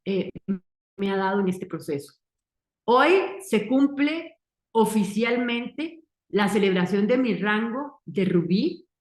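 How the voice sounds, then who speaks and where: Earnest Costa Rican woman in her forties. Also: mid-range voice. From Costa Rica. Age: 40s. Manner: earnest, deliberate, speaks in short emphatic phrases. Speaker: marketing entrepreneur giving a testimonial. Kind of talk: success-story testimonial speech. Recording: roomy echo.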